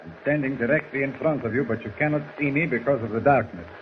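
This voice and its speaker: An actor playing a cold, commanding German villain doctor in a 1940s radio drama, speaking formally and with menace. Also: male voice, mid-range voice, quick pace, lightly acted. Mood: threatening. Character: menacing foreign villain doctor holding captives at gunpoint. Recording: tinny noisy 1940s radio recording.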